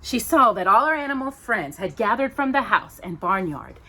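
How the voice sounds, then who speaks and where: A woman hosting storytime, reading a children's story aloud with expressive, dramatic emphasis on descriptive words. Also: medium pitch, moderate pace, husky, rough recording.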